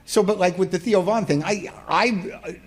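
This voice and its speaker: An older male comedian speaking in a halting, wry, rambling way during an interview. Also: high-pitched. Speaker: comedian guest. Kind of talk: casual interview talk.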